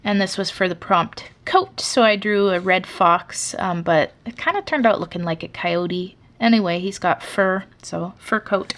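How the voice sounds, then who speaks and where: A woman's voice: mid-range voice, a little slow, hardly any pauses, fairly bright tone. Chatty young woman rambling over a sketchbook. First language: English. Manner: rambling, chatty, many fillers. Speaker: artist showing her sketchbook. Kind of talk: casual sketchbook flip-through chat.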